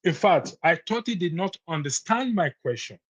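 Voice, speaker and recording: A man's voice: fairly high pitch, expressive, moderate pace, a bit bright, breathy edge. A Nigerian man on a call-in broadcast, firmly insisting that his point is on record. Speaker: caller on a live broadcast. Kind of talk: heated call-in debate.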